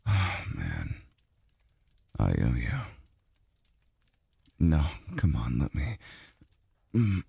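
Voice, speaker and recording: An extremely low, husky, breathy male voice, put on by a man for an intimate ASMR roleplay as a tired, tender immortal lover. He murmurs very slowly and haltingly, with soft sighs. It is close and dry on the mic. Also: huge pitch swings, dry recording, exaggerated voice. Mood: relaxing, yielding. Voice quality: very deep, gravelly, breathy. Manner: halting, murmured, sighing delivery. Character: weary, tender adult male immortal being soothed by a lover. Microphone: close dry microphone.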